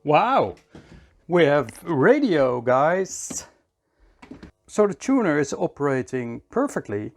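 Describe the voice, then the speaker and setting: A man giving a hands-on electronics repair tutorial in a measured, step-by-step way, often repeating short phrases. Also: a little high, sing-song, faint music behind.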